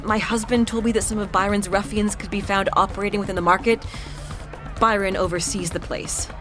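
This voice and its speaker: A woman playing a desperate, meticulous captive woman pleading earnestly and haltingly in a fantasy audio drama. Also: mid-range voice, fairly even intonation, natural voice. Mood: weary, grim. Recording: loud music behind the voice. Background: music.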